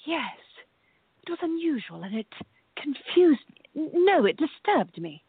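A woman's voice: sits high, big pitch swings, unhurried, no echo, exaggerated voice. A woman playing a genteel, tender lady novelist with formal period diction in a radio play. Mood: troubled. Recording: dull lo-fi, music behind.

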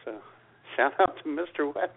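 A man's voice: fairly high pitch, lively intonation, normal speed, creaky, narrow, tinny audio. A man co-hosting a comedy radio show in casual, bantering conversation.

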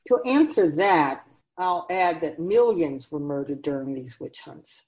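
A woman's voice: low-pitched, animated, a little slow, darkish tone, rough recording. A woman playing a wise, grave otherworldly keeper of souls in a radio drama, speaking in an oracular, instructive and emphatic way.

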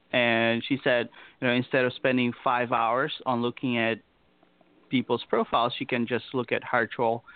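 A man's voice: mid-range voice, no room sound. A man, a company co-founder, answering interview questions, explaining patiently with many fillers. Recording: dry, tinny phone-line audio.

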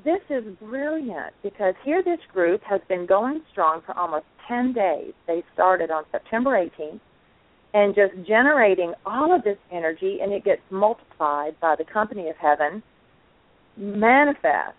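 A woman's voice: medium pitch, expressive, moderate pace, no echo. A woman co-hosting an online talk show, chatting in an effusive, enthusiastic way. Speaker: co-host. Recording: phone-like narrow line.

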